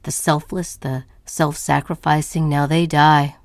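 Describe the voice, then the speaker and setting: A woman's voice: low-pitched, little pitch movement, a little slow, no room sound, studio quality. A woman performing a restless, anxious artist's first-person monologue in a brooding, emphatic, theatrical way. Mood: brooding, defiant. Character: restless, anxious, self-questioning woman artist, neither old nor young.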